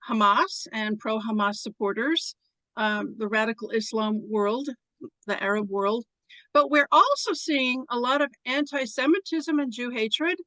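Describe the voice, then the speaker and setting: A woman hosts an interview and asks her questions in a friendly, earnest way. Her voice sits a little high, and the recording is dry. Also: a bit bright, no echo, rough recording. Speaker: show host. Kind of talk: hosting an interview.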